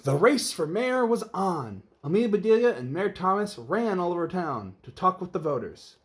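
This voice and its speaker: A man's voice: high voice, big pitch swings, normal speed. A man narrating a children's story aloud in an emphatic, theatrical way. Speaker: storybook reader.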